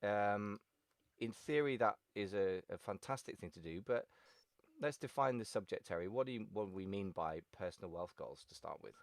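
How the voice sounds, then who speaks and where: Chatty male podcast host, low dry voice. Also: expressive, normal speed, dry recording. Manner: playful, chatty, jokey openers. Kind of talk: casual podcast interviewing.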